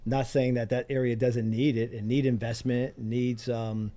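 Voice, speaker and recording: Mellow middle-aged man, interview guest. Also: mid-range voice, flat delivery, normal speed. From Pennsylvania, United States. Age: middle-aged or older. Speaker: interview guest, community organizer. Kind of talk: conversational interview answers. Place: radio studio.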